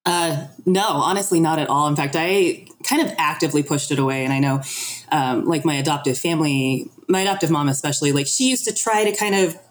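A woman's voice: sits low, brisk, poor audio. A woman speaking as a podcast guest, candid and self-reflective, acting out remarks she quotes as she talks. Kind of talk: candid personal conversation.

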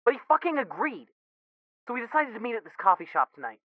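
A man's voice: very high-pitched, very animated, quick pace, dry recording. A man playing an emotional, foul-mouthed young brother in a fan fiction audio drama, stammering and blurting over a phone filter. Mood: overwhelmed joy. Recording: thin phone-like band, dry.

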